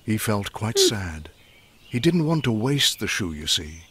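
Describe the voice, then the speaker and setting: A man narrating a rhyming children's bedtime story in expressive, measured verse. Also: fairly low pitch, husky.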